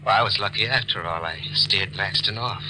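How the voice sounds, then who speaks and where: An actor playing a nervous, guilt-ridden hearse driver in a 1940s radio thriller, jittery and defensive. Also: male voice, on the low side, fairly quick, lightly acted. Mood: haunted narration. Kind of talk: 1940s radio thriller acting with first-person narration. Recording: noisy old 1940s radio recording.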